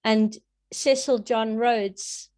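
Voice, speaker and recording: Female interview guest, slightly high voice, unhurried and deliberate, earnest commentary with pauses. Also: fairly even intonation, darkish tone. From South Africa. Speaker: interview guest, political analyst and website creator. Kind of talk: interview answers, measured commentary.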